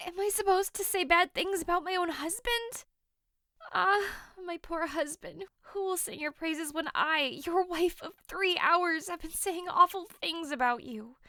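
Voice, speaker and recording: Woman doing a distraught young girl in a shakespeare audio play, super high bright voice, really dramatic. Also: measured pace, no room sound, clean studio sound, heavily acted. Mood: indignant, remorseful. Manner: theatrical, impassioned declaiming. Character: passionate teenage girl, newlywed noblewoman, desperate and grieving.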